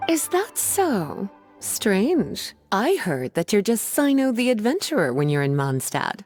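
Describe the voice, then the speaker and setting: A woman's voice: medium pitch, sing-song, slow pace, darkish tone. A woman voicing an elegant, playfully teasing young scholar in a video game, leisurely and coy, over music. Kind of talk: scripted game dialogue acting.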